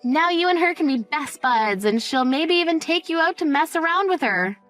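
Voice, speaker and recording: A woman's voice: high-pitched, lively intonation, fairly bright tone, pitched above the performer's own voice. Woman doing a sarcastic cold mom telling a story, smug and unbothered, faint music under it. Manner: sarcastic, dismissive, unhurried. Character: cold, sarcastic middle-aged mother, smugly unsympathetic. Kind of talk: dramatized first-person story narration.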